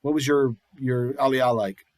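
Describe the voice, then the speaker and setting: A man's voice: medium pitch, steady tone, a little slow, dry recording. A man hosting a congregation program on a video call, talking casually with lots of fillers as he moderates questions.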